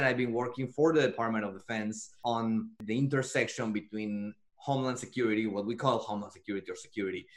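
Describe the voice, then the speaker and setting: Mexican-accented man, measured and explanatory. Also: fairly low pitch, a bit bright. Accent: Mexican Spanish. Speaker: interview guest, defense futurist. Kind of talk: thoughtful conversational answers.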